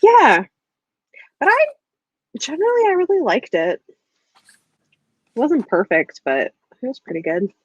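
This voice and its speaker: A woman's voice: sits high, huge pitch swings, slow, pauses often, husky, no room sound, dull audio. A woman in a casual, informal book chat, speaking in an agreeable, hedging way as host. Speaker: host of a book discussion livestream.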